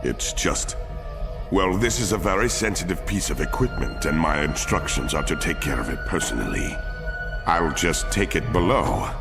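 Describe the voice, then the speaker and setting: A man playing a stiff, secretive alien officer in an animated game cutscene, speaking in a formal, measured and evasive way. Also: rumbling low. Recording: music behind the voice.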